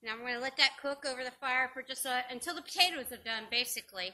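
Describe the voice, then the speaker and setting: A woman's voice: sits high, fast talker, pushed and bright. A middle-aged woman chatting through an outdoor open-fire cooking demonstration, explaining step by step with frequent ums, picked up by a camera a few meters away in a grassy yard. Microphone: camera on a stand a few meters away.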